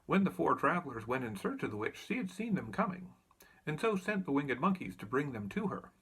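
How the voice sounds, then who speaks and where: A man narrating a children's storybook with very lively intonation. His voice sits slightly high for a man and has a creaky, husky grain. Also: a little fast, poor audio. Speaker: audiobook reader.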